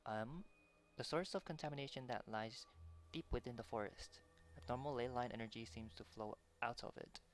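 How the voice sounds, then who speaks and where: A man's voice: mid-range voice, normal speed. A man doing casual playthrough commentary as a game streamer, musing aloud in short reactions and questions. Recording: loud game music under the voice. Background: video game music.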